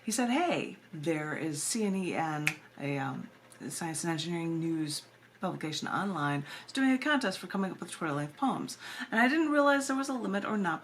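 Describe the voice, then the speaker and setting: A woman with a fairly low voice introduces her poetry in an informal, chatty way. She wanders into asides with a playful streak. The amateur camera recording carries a faint layer of noise. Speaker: poet and editor reading own work.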